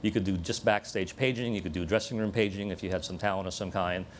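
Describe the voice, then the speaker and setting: A man's voice: low-pitched, fairly even intonation, fast talker, slight background noise. A man giving a conversational live technical demonstration to a room, explaining equipment as he presents it. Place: room with an audience at a live event demo.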